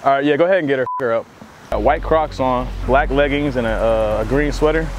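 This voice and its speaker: A man's voice: mid-range voice, a little fast, background noise. A young American man doing a casual fake phone call as a prank, talking in slangy, profane language. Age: young adult. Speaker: prankster host of a hidden-camera show. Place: outdoors on a campus walkway.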